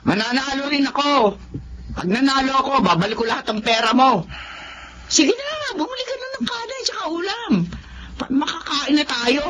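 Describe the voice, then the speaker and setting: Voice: high voice, animated, normal speed, noisy background. A performer playing a defensive, wheedling older mother with a Filipino accent in a radio drama.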